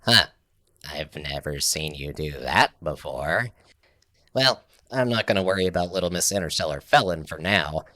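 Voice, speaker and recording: A man's voice: deep voice, lively intonation, moderate pace, pushed and bright, no room sound. A man playing a sly, sarcastic, unbothered alien townsperson in a sci-fi comedy audio drama. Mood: smug. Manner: sarcastic, dry needling. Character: sardonic, sly small-town alien with mandibles, unbothered.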